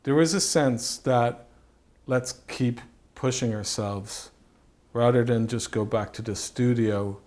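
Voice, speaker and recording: A man speaking reflectively in an interview as a writer and director, halting and thoughtful, often repeating phrases as he goes. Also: medium pitch, darkish tone.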